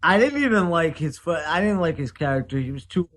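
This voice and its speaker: Animated guy swearing a lot, blunt movie chat, voice jumps around. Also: on the high side, fairly quick, noisy background. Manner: blunt, profane, teasing. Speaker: podcast co-host. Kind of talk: casual chat about movies.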